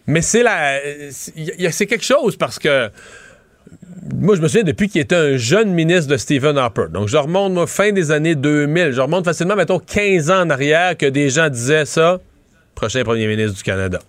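Middle-aged Québécois man, political commentator, rambling talk, slightly high voice, dry close sound. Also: a little fast, dry recording. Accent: Québécois French. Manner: rambling, animated, restarts mid-sentence. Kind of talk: casual political analysis chat.

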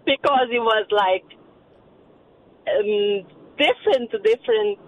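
A woman from Romania calls in by phone and speaks with a clear Romanian accent. She talks in halting bits, full of fillers. The line is tinny and thin, like an old phone. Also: medium pitch. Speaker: caller.

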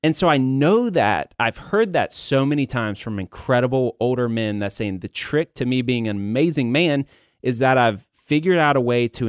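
A man's voice: medium pitch, no room sound. Candid man chatting on a dry mic. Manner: rambling, self-deprecating, candid. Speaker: podcast host. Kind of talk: casual conversational podcast talk.

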